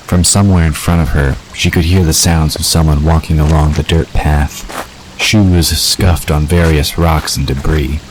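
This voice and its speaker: Extremely deep-voiced male story narrator. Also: steady tone, normal speed, noisy background. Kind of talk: scripted fiction narration.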